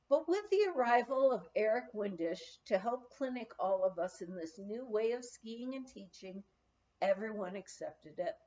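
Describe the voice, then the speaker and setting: A woman reading a memoir aloud in a halting manner, sometimes stumbling over words and going back over them. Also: fairly high pitch, darkish tone, highs cut off. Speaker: volunteer audiobook reader.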